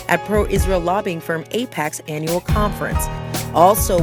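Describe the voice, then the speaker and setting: Woman reading a news report script, music playing underneath. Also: medium pitch. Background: background music.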